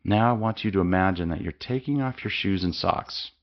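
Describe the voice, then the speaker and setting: Low, gravelly man guiding a meditation. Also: muffled recording. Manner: slow, calm, soothing instructions with long pauses. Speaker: meditation guide. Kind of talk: guided meditation.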